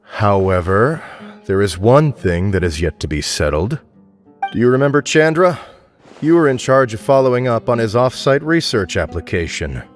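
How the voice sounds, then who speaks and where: A man's voice: on the low side, big pitch swings, normal speed, no room sound, soft background music. A man voicing a formal, stern but fair administrator in a game, polite and businesslike. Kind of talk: game voice acting.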